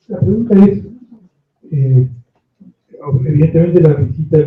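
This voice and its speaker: Man with thin, phone-like, echoey sound. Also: mid-range voice. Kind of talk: long spontaneous question. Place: echoey indoor room at panel event.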